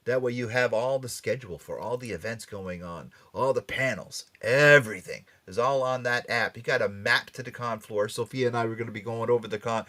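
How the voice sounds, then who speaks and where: A man's voice: mid-range voice, a bit bright. A man hosting a pop culture radio show, chatting casually and promoting events in a playful, teasing way.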